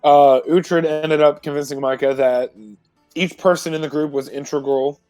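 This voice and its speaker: A man informally recapping a previous tabletop roleplay session as a player, in a halting, self-correcting way. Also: on the high side, fairly even intonation, darkish tone, dry recording. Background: music.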